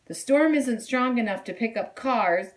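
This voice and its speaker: A woman reads aloud from a children's storybook. Her voice is on the high side and very animated, and she takes her time over each phrase. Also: unhurried. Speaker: storybook reader.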